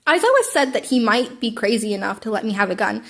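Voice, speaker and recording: Woman reading as a sassy, high-voiced teenage boy hero. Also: expressive, quick pace, vocal fry, fairly bright tone. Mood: pouting. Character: mischievous, cheeky teenage boy hero-in-training. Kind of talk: amateur fan fiction audiobook reading.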